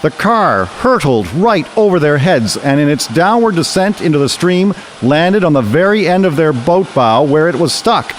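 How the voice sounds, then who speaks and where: A man's voice: a little high, very animated, moderate pace, few pauses, slight background noise. A man narrating a scripted radio drama as storyteller.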